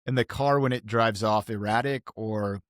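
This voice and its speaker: Low-voiced man, casual tabletop gamer. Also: moderate pace, no room sound, clean studio sound. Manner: tentative, thinking aloud. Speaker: tabletop game player. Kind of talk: casual tabletop role-play chat.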